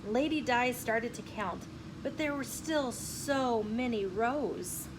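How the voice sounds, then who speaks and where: A woman reading a children's picture book aloud in an expressive, deliberate storytelling manner. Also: fairly high pitch. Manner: animated, deliberate storytelling with acted dialogue. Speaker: children's story reader and channel host. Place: inside a bookstore. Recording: clearly noisy room with ambient sound.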